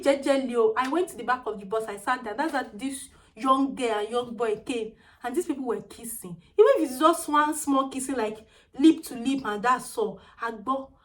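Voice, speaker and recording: High, small-voiced Nigerian woman. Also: normal speed. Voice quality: small, tiny-sounding voice. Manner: animated, chatty, mixes in pidgin phrases. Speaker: vlogger. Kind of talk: casual storytelling vlog.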